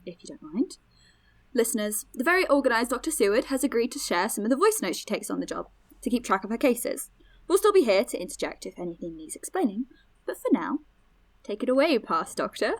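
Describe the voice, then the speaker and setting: A woman's voice: on the high side, big pitch swings, a little fast. A woman playing an eager, friendly young podcast host in an audio drama, chatty, encouraging and inquisitive.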